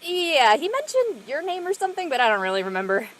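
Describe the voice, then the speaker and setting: An actress playing a brash, outspoken teenage girl in a casual, slangy, expressive drama performance. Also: high voice, fast talker. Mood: awkward, dismissive. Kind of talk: casual scripted drama dialogue.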